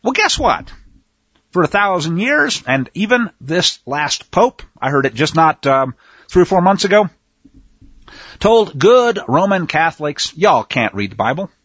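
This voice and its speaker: A man's voice: fairly high pitch, very animated, measured pace, a bit bright, breathy edge, dry recording, highs cut off. A man teaching from the Bible in a folksy, emphatic way, with sarcastic asides and frequent restarts. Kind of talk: folksy scripture commentary.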